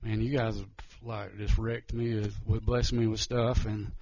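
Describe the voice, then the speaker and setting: A man giving a nervous, halting missionary testimony in church, often restarting his sentences. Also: lowish voice, level tone, a little mellow, highs cut off. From Georgia, US.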